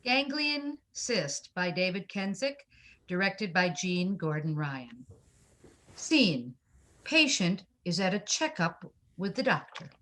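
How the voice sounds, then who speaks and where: Woman, stage directions reader, scripted narration, slow, lowish voice. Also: big pitch swings, fairly bright tone, low-quality recording.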